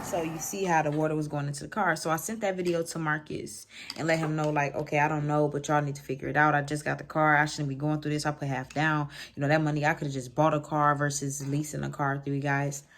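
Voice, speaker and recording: Casting: American woman vlogger, low voice, loose slangy storytime delivery with animated asides. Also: fast, few pauses, slight background noise. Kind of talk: casual storytime chat to camera.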